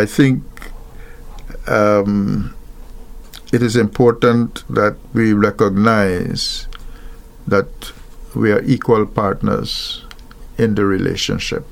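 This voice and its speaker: A man from Guyana, likely older, talking thoughtfully about marriage, pausing often with fillers and restarting sentences. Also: fairly low pitch, fairly even intonation, vocal fry. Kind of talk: reflective talk on marriage.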